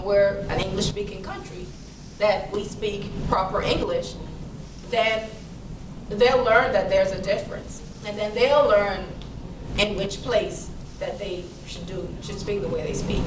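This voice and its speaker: A woman from the Bahamas giving a casual talk on public speaking to a small group. She chats easily, laughs often and answers questions from the floor. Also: medium pitch. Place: library meeting room. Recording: echoey room, speaker far from mic, clear background noise.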